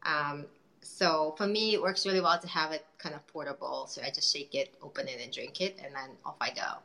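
A woman's voice: sits low, steady tone, brisk, pushed and bright, poor audio. A woman entrepreneur chatting in an interview, talking loosely with many fillers and restarts. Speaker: guest entrepreneur. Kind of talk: casual interview chat.

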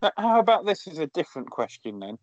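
Male co-host, deadpan rambling asides, dull dry remote audio. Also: on the high side, fast, dry recording, faint music behind. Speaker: podcast co-host. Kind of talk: casual chat. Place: small garden shed.

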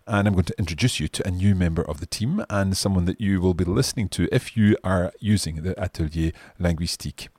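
Low, husky male language teacher. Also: a little mellow, no echo. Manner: measured, explanatory, with some restarts. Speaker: French teacher and podcast host. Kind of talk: explaining a course format, switching between English and French.

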